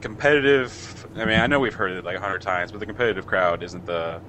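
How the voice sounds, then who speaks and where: A man's voice: low-pitched, fast talker, sharp tone, slight background noise. A man in a casual online voice chat, bluntly grumbling about a game. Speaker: game industry worker in discussion. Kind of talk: casual group chat over voice call.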